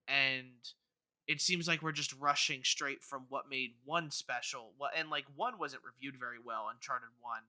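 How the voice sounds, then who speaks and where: A man's voice: medium pitch, bright, forceful tone, clear, resonant tone. A man co-hosting a podcast in casual discussion, earnestly rambling as he thinks aloud. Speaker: podcast co-host.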